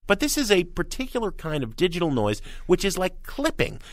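A man's voice: sits high, huge pitch swings, a little fast, no room sound, clean studio sound. A man, a music critic and co-host giving an opinionated, emphatic album review.

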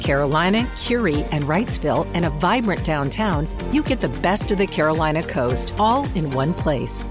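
Lively woman ad announcer over music. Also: fairly low pitch. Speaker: advertisement announcer. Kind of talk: scripted travel ad read.

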